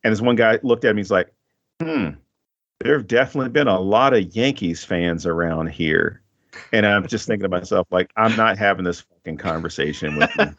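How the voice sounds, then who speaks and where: A man's voice: on the low side, a little fast, a bit bright, lo-fi sound. A man hosting a podcast, chatting casually about a film in a rambling way and laughing often. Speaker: podcast host.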